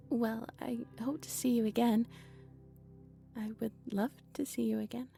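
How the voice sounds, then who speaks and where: A woman playing a polite, shy owl shopkeeper in a romantic roleplay audio drama, speaking gently and hesitantly. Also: on the high side, fairly even intonation, measured pace, creaky, faint music behind. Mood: shy, warm.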